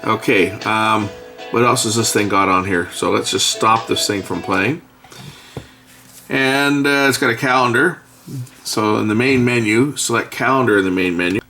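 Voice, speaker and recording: A man's voice: on the low side, sharp tone, faint music behind. A middle-aged man gives a casual hands-on demo at a workbench, talking himself through menus. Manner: thinking aloud while pressing buttons, unhurried. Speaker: product reviewer. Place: indoors at a workbench.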